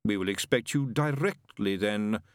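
A man's voice: lowish voice, a little slow, dry recording, clean studio sound, natural voice. A man voicing an imperious heavenly spokesman with a stately, formal manner in a storybook reading. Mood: cool, testy. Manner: stately, formal, coolly insistent.

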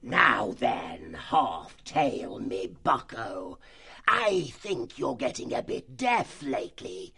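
A man's voice: medium pitch, big pitch swings, creaky, pushed and bright, breathy edge, voice pushed up, full character voice. A man voicing a cruel, hooded male fox who leads a band of slavers, with a harsh, grating, bullying way of talking. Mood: menacing. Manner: menacing, bullying, drawn-out threats. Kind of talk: audiobook character voice.

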